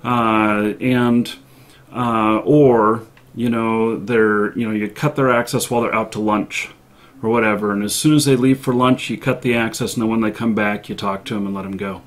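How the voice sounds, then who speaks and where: A man hosting a live stream, giving casual advice in a halting, deliberate way, often stretching out filler sounds as he searches for the next phrase. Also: fairly low pitch, fairly even intonation. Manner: halting, deliberate delivery with frequent long pauses and filler sounds.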